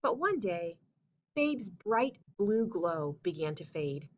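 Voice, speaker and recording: A woman reading a children's storybook aloud as narrator, with expressive, emphatic phrasing. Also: on the low side, slow pace, highs cut off, slight background noise. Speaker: story reader.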